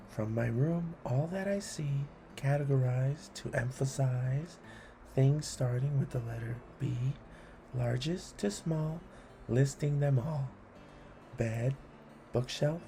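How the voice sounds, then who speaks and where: A man reading children's rhymes aloud expressively and deliberately, adding encouraging asides to the listener. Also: medium pitch, creaky, mellow, slight background noise. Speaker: read-along host.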